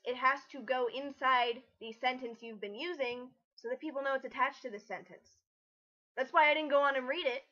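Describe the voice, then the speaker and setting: A woman's voice: high-pitched, sharp tone. A woman giving casual, mocking commentary on a read-aloud, incredulous and pedantic about grammar, recorded on a muffled lo-fi mic. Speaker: writer co-hosting a mock dramatic reading.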